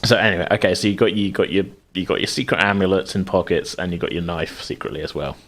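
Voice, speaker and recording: A man's voice: low voice, fast talker, a bit bright. A man running a tabletop game as game master, narrating casually in hesitant, conversational phrasing.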